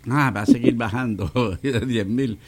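A man's voice: mid-range voice, measured pace, crowd noise. A man hosting a radio show in casual chat, joking and laughing at himself often. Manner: jokey, laughs often, self-mocking. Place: radio studio.

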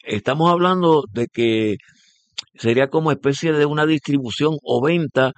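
A man's voice: a little high, moderate pace, no room sound. A man hosting a business radio show, interviewing a guest and often rephrasing his questions with fillers. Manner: repeats and rephrases, many fillers.

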